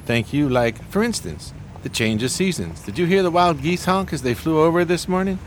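Man playing pompous, animated genteel vagrant. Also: fairly high pitch, normal speed, a little hiss or hum, lightly acted. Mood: delighted, genial. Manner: flowery, grandiose, courteous. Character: pompous, genteel homeless schemer of 1920s New York. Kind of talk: radio play acting.

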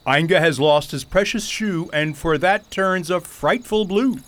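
High-voiced pompous goat king. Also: a little slow, a bit bright. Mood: pompous, dismissive. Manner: florid, theatrical, old-fashioned wordy speech. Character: pompous, foppish, rhyming goat king, adult male. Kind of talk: radio theater acting.

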